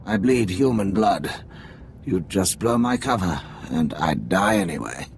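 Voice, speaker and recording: A man's voice: low voice, lively intonation, measured pace, a little hiss or hum. A man playing a proud, bitter undercover Imperial spy who is mortally wounded, in a video game. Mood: bitter, resigned. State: weak, mortally wounded acting.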